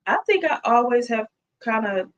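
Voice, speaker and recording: A woman's voice: fairly high pitch, expressive, moderate pace, highs cut off. A woman guest co-host on a podcast who speaks openly and thoughtfully in casual chat. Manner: open, candid, thoughtful, warm agreeing backchannels.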